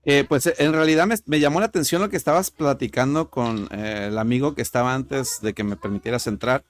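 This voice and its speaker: A man's voice: mid-range voice, fairly quick. A man from Tijuana with a Mexican Spanish accent, calling in to a religious show and speaking hesitantly with many fillers. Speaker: caller. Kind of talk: call-in debate.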